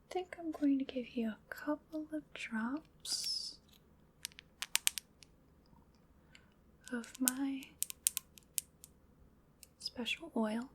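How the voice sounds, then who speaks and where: Slow, whispering female vampire played by a woman. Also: fairly high pitch, exaggerated voice. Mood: gently bossy. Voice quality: soft breathy whisper. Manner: unhurried, musing, coaxing and mildly bossy. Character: playful, fussy female vampire seasoning her human snack. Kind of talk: whispered ASMR roleplay.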